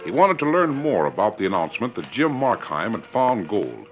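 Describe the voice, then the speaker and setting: A man's voice: lowish voice, big pitch swings, moderate pace, soft background music. A man with an American accent giving formal, polished dramatic narration as a 1940s radio announcer. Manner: formal, polished storytelling delivery. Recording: muffled vintage radio recording.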